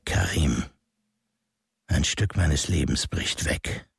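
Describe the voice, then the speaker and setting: A man playing a calculating, powerful political fixer in a radio drama, speaking in a measured way. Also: a bass voice, expressive, vocal fry, breathy edge, heavily acted. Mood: bitter. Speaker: political operator. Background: music.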